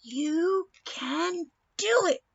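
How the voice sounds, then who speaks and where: A woman voicing a proud, loving storybook mother, cheering her child on in a drawn-out delivery. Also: very high voice, expressive, vocal fry, muffled recording, voice pushed up, full character voice. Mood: proud, encouraging.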